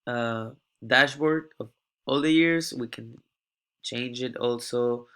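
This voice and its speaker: A young male student talks through a class presentation while sick and coughing. He speaks in a halting way and often repeats a word before pressing on. His voice sits at an ordinary middle pitch. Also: sharp tone, smooth. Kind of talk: class presentation walking through a data dashboard.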